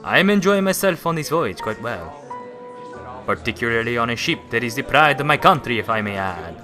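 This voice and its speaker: A man playing a courteous, earnest Italian gentleman passenger in a radio play, speaking formally and with measured politeness. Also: mid-range voice, sing-song, natural voice. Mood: polite pride. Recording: music bed under dialogue. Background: music.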